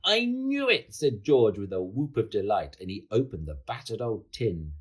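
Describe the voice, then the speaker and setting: A man reading a children's picture book aloud with dramatic, expressive delivery. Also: on the low side, normal speed, breathy edge. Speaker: storyteller.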